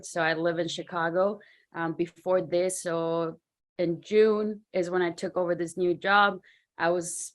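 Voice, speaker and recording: A woman raised in Mexico talks about her career on a video-call panel. Her voice sits low for a woman, and she speaks casually with frequent fillers. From Mexico, Ciudad Juarez. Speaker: sports scientist, panel guest.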